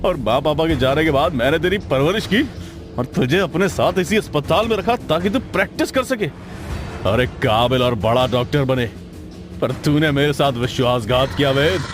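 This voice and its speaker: A man's voice: fairly high pitch, lively intonation, moderate pace, pitched above the performer's own voice, lightly acted. A man acting a desperate, guilty middle-aged doctor in a horror story, pleading and indignant in an agitated way. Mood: indignant, wounded. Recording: loud music behind the voice.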